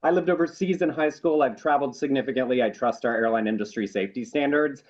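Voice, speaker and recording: A man's voice: mid-range voice, fast talker. A man giving a conversational news interview as an eyewitness. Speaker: interviewee, airline passenger. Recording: dull, muffled interview audio.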